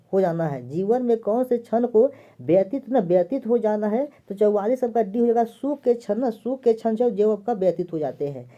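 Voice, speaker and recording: Woman exam tutor, very dark voice, muffled lo-fi audio, goes through answers and repeats each one. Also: mid-range voice. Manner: repeats each question and answer several times. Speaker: exam prep teacher. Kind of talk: answer key walkthrough.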